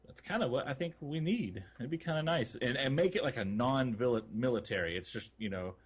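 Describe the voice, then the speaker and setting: A man's voice: medium pitch, moderate pace, slightly rough. A man co-hosting a casual gaming podcast, talking in an informal, enthusiastic, loosely phrased way. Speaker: podcast co-host.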